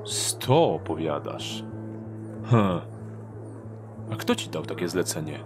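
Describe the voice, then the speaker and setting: Casting: male actor as sly rogue thief, low drawling voice, sceptical, fantasy audio drama over music. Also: big pitch swings, slow, exaggerated voice. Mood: incredulous.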